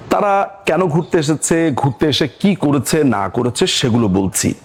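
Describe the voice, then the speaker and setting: A man's voice: medium pitch, a little mellow, husky. A man with a Bangladeshi accent hosting political commentary in an emphatic, rhetorical manner. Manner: emphatic, rhetorical questions and repetition. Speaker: political commentator and show host.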